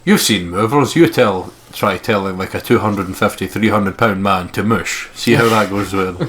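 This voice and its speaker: A man's voice: lowish voice, moderate pace, a bit bright, a little hiss or hum. A man co-hosting a let's play, mixing casual, sweary, joking banter with reading the game's text aloud.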